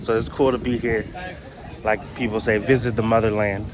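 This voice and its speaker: A man visiting as a vlogger, talking casually to the camera in a relaxed, low-key way. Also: moderate pace, a crowd in the background. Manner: low-key, flat, relaxed with light laughs. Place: outdoor memorial park by a city road. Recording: outdoor traffic noise.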